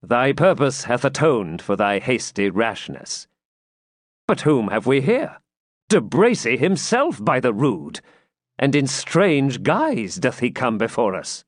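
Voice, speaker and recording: A man's voice: medium pitch, very animated, takes it slowly, dry recording, studio quality. A man voicing a vain, imperious medieval prince with pompous archaic speech in an audiobook story. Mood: grave, pompous.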